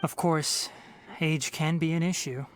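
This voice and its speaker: An actor playing a calm, composed young male scholar who speaks in a measured, slightly dry way. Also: on the high side, faint music behind, close to an everyday voice. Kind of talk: game voice acting.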